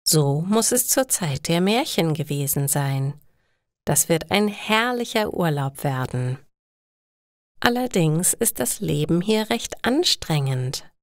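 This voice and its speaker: Woman, story narration for learners, very slow careful phrasing, lively intonation. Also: deep voice, dry recording, clean studio sound. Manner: careful, simple, clearly separated phrases. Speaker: narrator of stories for language learners.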